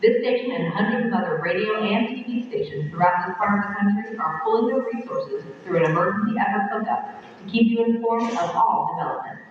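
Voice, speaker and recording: A solemn male newscaster as an actor plays him reads an emergency bulletin in a fairly high voice. The sound is dull and muffled, caught from a distance with heavy echo. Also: brisk, slight background noise, lightly acted. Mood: grave. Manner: steady, formal bulletin reading. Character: grave male emergency broadcast announcer. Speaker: radio news announcer. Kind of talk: 1960s broadcast news delivery. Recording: dull, distant, echoey old film radio sound.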